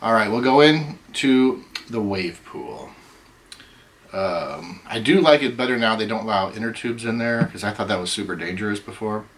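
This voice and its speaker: A middle-aged man hosting a casual chat, rambling with many ums, his voice going hoarse. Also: on the low side, lively intonation, moderate pace, a bit bright, slight background noise. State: losing his voice. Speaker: host.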